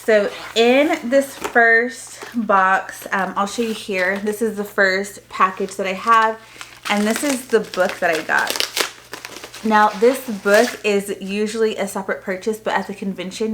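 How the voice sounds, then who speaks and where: A woman with a mid-pitched voice talks in a casual unboxing style. She addresses viewers directly and explains each item informally, as a parent vlogger would. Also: a little slow, fairly bright tone, slight background noise.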